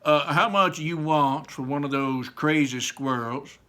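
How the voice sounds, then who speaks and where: A man's voice: fairly high pitch, a little slow, sharp tone, pitched above the performer's own voice. A man voicing a pompous, blustering rich father while reading a storybook aloud. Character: pompous wealthy middle-aged father.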